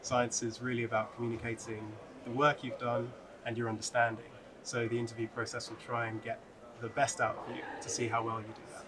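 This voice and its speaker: A young man from the UK, a recent PhD graduate, giving advice in a steady, even way. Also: medium pitch, fairly quick, noisy background. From United Kingdom. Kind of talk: answering interview questions to camera. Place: large open atrium, indoors. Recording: crowd chatter behind the voice.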